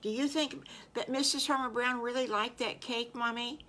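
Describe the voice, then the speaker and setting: A woman voicing an excitable, sweet little boy piglet in a children's story, gushing and repeating words eagerly. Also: a little high, moderate pace, pitched above the performer's own voice. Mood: delighted.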